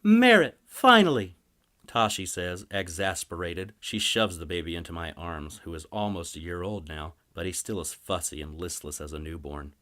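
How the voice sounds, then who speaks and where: A man narrates a fantasy tale in the first person, as a story reader. His voice sits low, and the delivery is scripted audiobook prose. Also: moderate pace, hardly any pauses, sharp tone.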